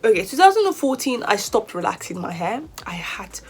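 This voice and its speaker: A woman in her twenties from Nigeria with a British accent, talking casually to camera as a hair vlogger, chatty and lively and sometimes flustered. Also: medium pitch, brisk, husky, faint music behind. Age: 20s. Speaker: beauty vlogger.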